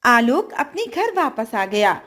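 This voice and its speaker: A woman's voice: a little high, sing-song, takes it slowly, fairly bright tone. A woman narrating a scripted children's story as a storyteller.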